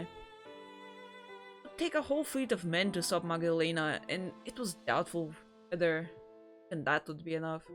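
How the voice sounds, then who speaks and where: Deep-voiced woman streamer; steady story reading, giggly rambling chat; faint music bed. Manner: giggly and rambling in chat, even-paced when narrating. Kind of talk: reading a visual novel aloud and chatting with viewers. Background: faint game music.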